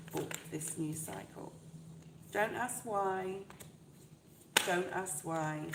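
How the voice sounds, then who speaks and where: A woman with a stuffed-up, fluey voice, chatting warmly and reassuringly to viewers during a live tarot reading. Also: medium pitch, roomy sound, noisy background. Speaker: tarot reader.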